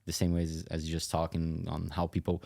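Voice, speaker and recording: Male podcast guest, low and dark monotone, thoughtful conversational delivery. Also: brisk, creaky. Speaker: podcast guest, tech company chief executive. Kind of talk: conversational interview.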